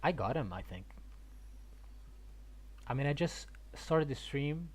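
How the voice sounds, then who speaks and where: A man live streaming a game and chatting casually, halting and often repeating his words. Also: mid-range voice, animated. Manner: halting, repetitive. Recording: faint background noise.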